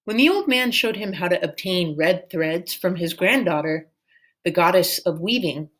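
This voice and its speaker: A woman narrating a storybook as an expressive read-aloud. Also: on the low side, normal speed. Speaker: storyteller reading a book aloud.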